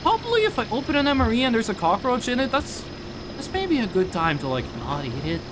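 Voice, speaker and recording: A man's voice: very high voice, big pitch swings, a little fast, noisy background. A young man from northern Norway, chatting casually on a live stream while gaming, rambling and restarting his sentences. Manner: rambling, restarts sentences, reads chat aloud.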